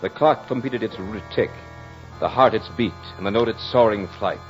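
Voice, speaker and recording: An actor playing a brooding aristocratic courtier in an old radio drama, telling his tale in a measured, florid, dramatic way. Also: fairly low pitch, faint music behind, lightly acted. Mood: intense, bitter. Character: brooding aristocratic French courtier recounting a fatal duel. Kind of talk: 1940s radio drama monologue. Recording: muffled old radio recording.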